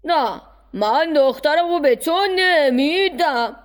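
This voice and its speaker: A woman's voice: sits high, expressive, pitched above the performer's own voice. A woman voicing a stern, protective middle-aged uncle who scolds curtly in a storybook tale. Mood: scolding. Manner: curt, scolding.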